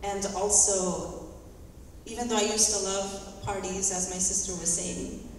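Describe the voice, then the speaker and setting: A woman giving a heartfelt personal testimony in a church, speaking in short phrases and pausing after each one for an interpreter. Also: medium pitch, level tone, a little hiss or hum. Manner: earnest, heartfelt. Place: large church sanctuary. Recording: echoey hall from far off.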